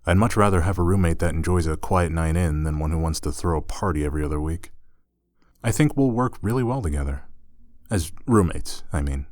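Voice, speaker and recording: Deep-voiced easygoing male roommate, acted by a man. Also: brisk, darkish tone, no room sound, studio quality, lightly acted. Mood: relaxed, friendly. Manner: casual, conversational, hesitant trailing asides. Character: easygoing young male roommate, gently teasing, slightly awkward. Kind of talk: intimate ASMR roleplay audio drama.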